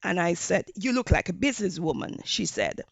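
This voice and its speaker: A woman from Jamaica with a Jamaican accent, a hospitality professor giving measured interview answers with frequent fillers. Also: lowish voice, big pitch swings, creaky, no echo.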